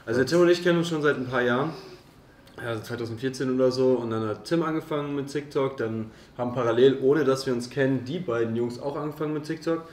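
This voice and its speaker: A man's voice: mid-range voice, fast, distant mic. A man of 21 chatting casually in a group Q&A. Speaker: member of a social media video group. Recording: echoey room, off-mic, faint noise.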